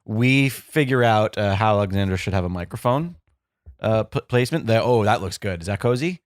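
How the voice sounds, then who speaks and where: A playful young man hosting a podcast from home, his voice a little stuffed up and nasal. His pitch swings lively from line to line as he jokes with his co-host. The sound is close and dry, with no room in it. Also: brisk, no room sound. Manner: playful, joking, animated. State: congested and nasal. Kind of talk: casual podcast chat. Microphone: studio microphone.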